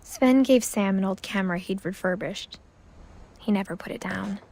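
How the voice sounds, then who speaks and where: A woman's voice: mid-range voice, moderate pace, slight background noise, close to an everyday voice. A woman voicing a wistful young narrator. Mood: reflective. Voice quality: soft, reflective, often drops to a whisper. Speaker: game narrator. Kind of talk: scripted narration. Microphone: studio voice-over.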